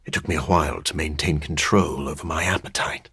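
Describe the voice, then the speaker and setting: A man playing a brooding, scholarly living vampire in a video game, husky and formal, articulate and dryly sardonic. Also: a bass voice, a little fast, a bit bright, dry recording, clean studio sound, full character voice.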